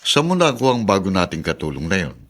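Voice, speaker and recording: Radio drama role: wary veteran politician, lowish voice, lively emphasis, firm. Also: male voice, fast, full character voice. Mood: reserved. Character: cautious, authoritative husband, town mayor and politician. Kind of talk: Tagalog radio drama acting.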